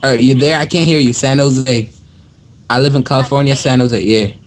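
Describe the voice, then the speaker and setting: A man's voice: medium pitch, a little fast. A young man making a prank call as a customer, talking in casual slang over an internet voice call. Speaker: prank caller posing as a customer. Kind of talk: casual slangy phone conversation.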